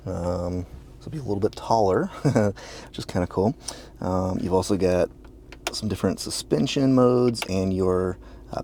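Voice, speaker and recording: Low, gravelly man giving a car walkaround. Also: a little hiss or hum. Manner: relaxed, plain, with frequent uh fillers. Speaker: car salesman. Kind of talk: casual dealership walkaround video. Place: at and inside a car at a dealership.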